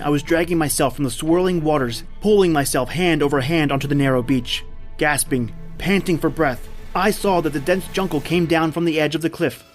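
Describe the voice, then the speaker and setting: Radio adventure hero, male actor: polite, inquisitive castaway hunter, slightly raised pitch, dramatic first-person delivery. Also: natural voice. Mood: urgent, relieved. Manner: earnest, dramatic storytelling. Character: shipwrecked male big-game hunter, polite, observant, inquisitive.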